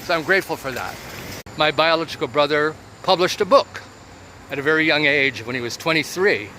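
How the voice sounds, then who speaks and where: A man in his seventies talking about his life in a relaxed, reflective monologue, with many pauses. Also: a little high, a little hiss or hum, poor audio. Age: 70s. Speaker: vlogger. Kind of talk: casual personal monologue.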